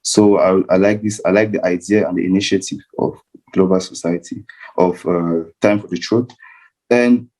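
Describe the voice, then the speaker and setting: A man's voice: low voice, steady tone, moderate pace, a little mellow, low-quality recording. A young man with a Cameroonian accent speaking as a panel guest, searching a bit for his words. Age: young adult. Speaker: guest speaker, student climate activist. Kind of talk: informal panel speech.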